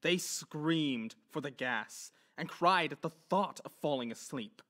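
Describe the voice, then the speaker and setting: A man's voice: a little high, expressive, a little slow, a bit bright. A man narrating a horror story in an intense, theatrical manner.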